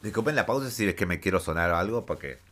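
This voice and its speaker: A man with a very low voice hosts a casual gaming news podcast while fighting a head cold. His nose is stuffy, and he reads each story with chatty asides and frequent hesitations. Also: animated, fast talker, fairly bright tone. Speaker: podcast host.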